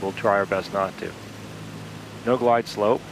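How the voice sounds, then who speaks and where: Relaxed man talking through a sim flight. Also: on the low side, measured pace, background noise. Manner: relaxed, talking through each step aloud. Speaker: flight sim video host. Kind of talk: casual instructional narration while flying. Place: home flight simulator cockpit. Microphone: aviation headset.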